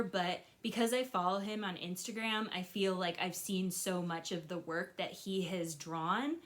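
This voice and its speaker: A woman's voice: lowish voice, fairly bright tone. A woman speaking casually as a teacher hosting a picture-book read-aloud, with chatty, informal asides.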